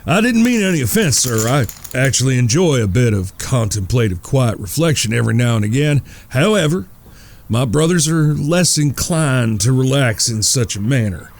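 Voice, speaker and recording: A man's voice: medium pitch, very animated, moderate pace. A man playing a polite, wry Old West traveler in a Western audio drama, with a folksy, courteous way of talking.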